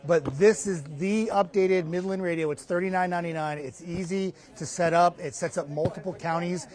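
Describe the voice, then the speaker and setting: A man's voice: high voice, fairly even intonation, moderate pace, darkish tone. A middle-aged man working as a TV meteorologist, explaining conversationally and emphatically in a live interview on a lapel mic. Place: inside a hardware store, live remote.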